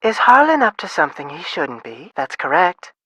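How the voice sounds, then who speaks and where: A woman voicing a brisk, guarded parole office receptionist in a game, with a clipped, businesslike manner. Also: fairly low pitch, very animated, bright, forceful tone, breathy edge, no echo, full character voice, poor audio. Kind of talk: scripted game phone dialogue.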